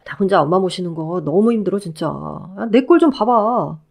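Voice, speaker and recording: A woman's voice: fairly low pitch, animated, moderate pace, vocal fry, darkish tone. A woman voicing a wheedling, self-pitying ex-husband. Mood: begging. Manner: wheedling, whiny begging. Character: pleading, self-pitying middle-aged ex-husband.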